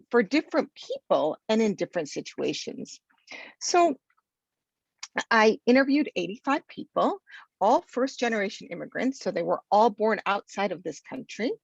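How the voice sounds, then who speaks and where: A woman's voice: medium pitch, gravelly, breathy edge, dry recording. A woman from Argentina, a sociology professor, giving an informal lecture over a video call, talking casually with many ums and restarts. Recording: dull lo-fi video call audio.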